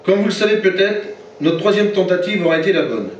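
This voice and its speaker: French guy reading a formal club speech, a bit high voice, super echoey hall, far from the mic. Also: animated, brisk, highs cut off, slight background noise. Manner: formal, reads from notes, stumbles and corrects himself. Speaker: football club president giving a speech. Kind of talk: formal prepared speech read aloud. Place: reception hall.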